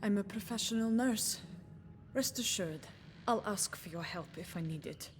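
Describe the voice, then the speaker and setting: An actress playing a composed, defiant nurse in a video game, speaking in a measured, frank, dryly pointed way. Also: female voice, medium pitch, lightly acted. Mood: polite, composed. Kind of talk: video game dialogue acting. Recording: music behind the voice.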